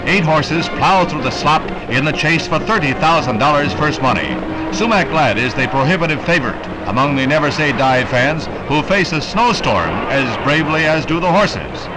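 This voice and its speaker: A man narrating a 1960s newsreel in a brisk, emphatic announcer delivery. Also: fairly high pitch, lively intonation, rough recording. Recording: old newsreel soundtrack with loud music. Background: loud music score.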